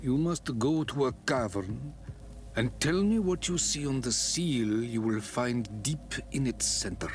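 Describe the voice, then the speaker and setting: An actor playing a solemn, testing spiritual leader of an island people in a video game, speaking in a deliberate, ceremonious way. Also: male voice, mid-range voice, animated, faint music behind, natural voice. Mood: solemn, measured. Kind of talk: video game dialogue acting.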